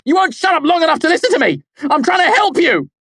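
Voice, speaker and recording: A man voicing a proud, hot-tempered young man in an audiobook, shouting biting words. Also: on the low side, level tone, slow pace, slightly rough, dry recording, studio quality, exaggerated voice.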